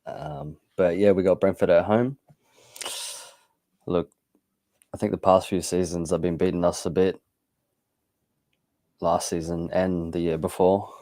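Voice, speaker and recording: Man, very low voice, mellow, football co-host, unhurried, dry sound. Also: steady tone, dry recording. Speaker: podcast co-host. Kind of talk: casual football chat.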